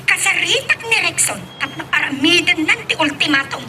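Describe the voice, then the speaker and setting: Woman doing a jealous young rival, super high voice all over the place, taunting, noisy far-off mic. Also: animated, moderate pace, pushed and bright, exaggerated voice. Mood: angry. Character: Wendy, spiteful jealous young female rival. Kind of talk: Ilocano radio-style romance drama acting. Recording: noisy, distant mic with clicks.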